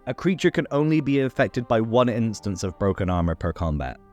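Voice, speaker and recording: Man with lively pitch explaining game rules. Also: brisk, over loud music. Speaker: host of a tabletop game tutorial channel. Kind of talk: scripted explainer narration.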